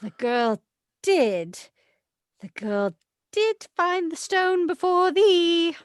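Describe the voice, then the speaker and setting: A woman playing a dim, mild witch sister who gently contradicts in matter-of-fact, halting, archaic words, reading from a script. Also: very high voice, expressive, dry recording, clean studio sound, full character voice. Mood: meekly contradicting. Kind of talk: script table read.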